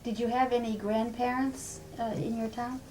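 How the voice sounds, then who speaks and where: A woman interviewer with a mellow, warm tone asks short, plain questions about growing up. Her voice is off camera, with a faint hiss behind it. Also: a little high, flat delivery, normal speed, rough recording. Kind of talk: oral history interview.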